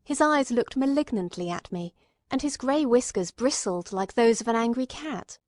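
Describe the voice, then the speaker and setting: A woman's voice: a little high, animated, moderate pace, a little mellow, dry recording. Woman narrating a classic story, close and dry. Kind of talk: scripted literary narration with acted dialogue.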